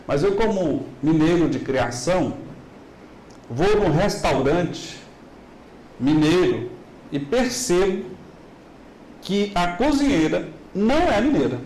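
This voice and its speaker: A middle-aged man with a Minas Gerais accent giving a lively business talk and asking the audience rhetorical questions. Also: high-pitched, slow, pauses often, reverberant, low-quality recording. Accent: Minas Gerais Brazilian Portuguese. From Minas Gerais, Brazil. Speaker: business lecturer. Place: large hall.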